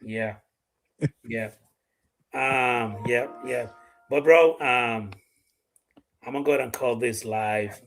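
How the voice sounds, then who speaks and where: A man's voice: mid-range voice, slow pace, pauses often, fairly bright tone, faint music behind. A middle-aged man from the Dominican Republic with a Dominican accent, hosting a casual live chat, talking bluntly and swearing freely. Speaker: livestream host.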